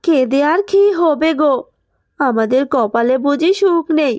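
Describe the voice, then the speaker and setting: A worried mother fox from a children's cartoon, voiced by a woman. She laments with plaintive resignation in a high voice. The sound is dry. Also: expressive, a little slow, a little mellow, dry recording. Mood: sorrowful, resigned. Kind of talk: Bengali children's cartoon dubbing.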